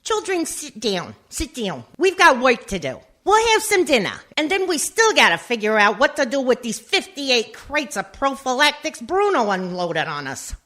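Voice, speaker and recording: A nagging mob wife and mother from a comic radio play, voiced by a woman. Her voice is high, bright and forceful, swinging widely in pitch. She scolds with sharp indignation. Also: big pitch swings, normal speed, few pauses, heavily acted. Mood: bossy.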